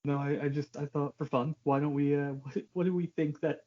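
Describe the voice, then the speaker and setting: A man's voice: on the high side, steady tone, fairly quick, muffled recording. A man co-hosting a podcast, chatting casually about films in a joking, profane way. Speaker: podcast co-host.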